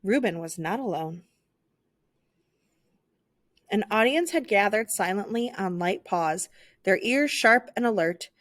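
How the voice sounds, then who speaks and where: Expressive woman reading a children's story. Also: mid-range voice, a little slow, pauses often, no echo. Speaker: storyteller reading a picture book aloud.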